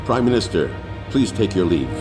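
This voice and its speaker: A commanding captain in a game. His voice is lowish but highly animated, fatherly and authoritative. Music plays behind him. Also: normal speed. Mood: firm, urging. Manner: urging, authoritative.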